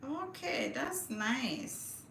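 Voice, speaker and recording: A woman's voice: sits high, lively intonation, extremely slow, vocal fry, a bit bright, reverberant, slight background noise. A woman hosting a casual livestream, chatting to viewers and reading news aloud with small stumbles. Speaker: livestream host.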